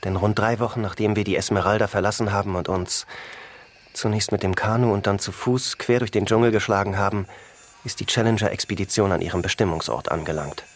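An actor playing an earnest newspaper journalist on an expedition in a radio drama, with a husky voice. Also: male voice, lowish voice, steady tone, fairly quick, lightly acted. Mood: reporting. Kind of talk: German radio drama acting, letter narration and dialogue.

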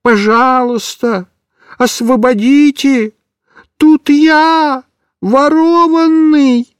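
A man's voice: extremely high pitch, steady tone, drawn-out words, no room sound, studio quality, pitched above the performer's own voice, heavily acted. A man voicing a small, frightened talking male cat in a storybook reading, plaintive and pleading. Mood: shouting.

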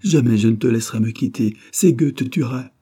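A man's voice: mid-range voice, a little fast, dark tone, breathy edge, voice pushed up, close to an everyday voice. A man voicing an old wife in a storybook, pleading theatrically in feigned terror and despair. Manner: pleading, theatrically desperate, clinging.

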